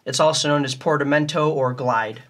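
A man's voice: a little high, moderate pace, a bit bright. A man giving a casual, step-by-step tutorial in a plain, methodical way. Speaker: music tutorial host.

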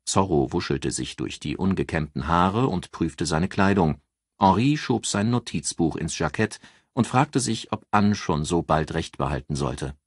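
Male novel narrator: very low, husky voice, measured scripted read, dry recording. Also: expressive, dry recording.

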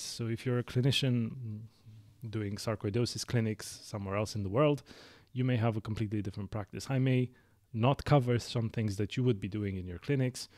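A man in his thirties with a Romanian accent, hosting a medical podcast and explaining his clinical practice in a measured way, with frequent fillers and self-corrections. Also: mid-range voice, dark tone, no room sound, studio quality. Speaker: lung specialist physician, podcast host. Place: small dark studio. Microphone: studio mic on boom arm.

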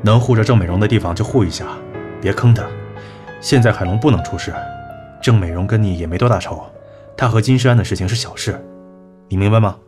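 An actor playing a blunt older company boss in an audio drama, talking curtly and protectively. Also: male voice, on the low side, brisk, natural voice. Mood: firm. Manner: curt, scolding and protective. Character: blunt older male executive, protective and gruff. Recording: studio voice with music bed.

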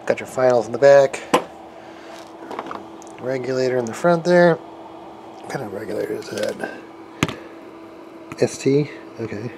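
A man giving a casual, unscripted hands-on demo as a hobby repair channel host, haltingly thinking aloud and repeating phrases. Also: medium pitch, vocal fry. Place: cluttered garage workshop with open door. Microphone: handheld camera microphone. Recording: noisy handheld camera in a garage. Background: ambient noise.

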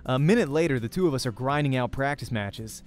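A man's voice: mid-range voice, expressive, brisk. A man doing a let's play, reading a visual novel's narration aloud with expression. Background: faint music.

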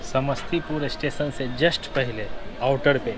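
A man in his thirties narrating a train travel vlog to camera in an informative, explanatory way. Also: a little slow. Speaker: travel vlogger. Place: on a moving train and at open railway platforms. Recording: loud train, crowd and outdoor noise. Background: train rumble, station crowd.

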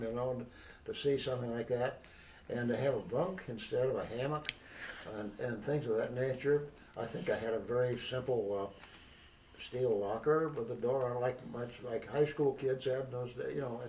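An elderly man in an oral history interview, recalling his past in a halting, rambling way with many restarts. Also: mid-range voice, mellow, slight background noise. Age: older. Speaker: veteran interviewee. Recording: roomy, distant mic.

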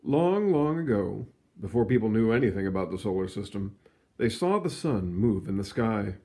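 A man reading a children's science book aloud in an expressive storytelling manner, stretching words for emphasis, with casual asides. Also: lowish voice. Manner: animated, storytelling delivery with drawn-out emphasis. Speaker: read-aloud reader.

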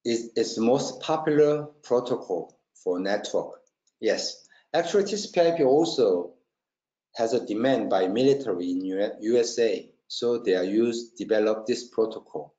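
A man presenting a technical webinar in halting non-native English, checking often that listeners follow. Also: fairly low pitch, lively intonation, mellow. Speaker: webinar presenter, company marketing trainer. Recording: roomy, dull lo-fi webinar audio.

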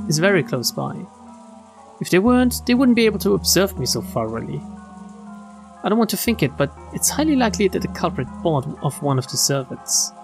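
A streamer with a mid-range, very animated voice reads a visual novel aloud. The delivery is expressive and slips into casual, joking commentary. Game music and rain play behind the voice. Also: medium pitch. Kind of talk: let's play read-aloud with casual asides.

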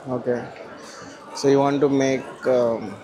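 A man's voice: medium pitch, steady tone, takes it slowly. Chatty man vlogging in a noisy mall. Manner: chatty, laughs often, asks questions. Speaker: vlog host. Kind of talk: casual travel vlog chat. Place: busy shopping mall.

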